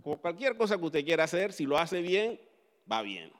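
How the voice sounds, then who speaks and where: A man whose first language is English, preaching a sermon in Spanish in an animated way and asking the audience questions. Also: fairly high pitch, normal speed, long reverb tail. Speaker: pastor.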